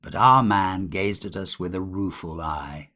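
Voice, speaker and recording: A man reading a literary ghost story as a narrator, in a measured, old-fashioned storytelling manner. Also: low voice, bright, forceful tone. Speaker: audiobook narrator.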